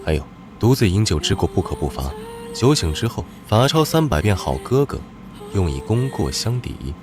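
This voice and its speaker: An actor playing a stern but doting older brother, a war general, who speaks firmly and protectively. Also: male voice, lowish voice, big pitch swings, music in the background. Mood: teasing stern. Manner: firm, measured, protective. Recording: background hiss.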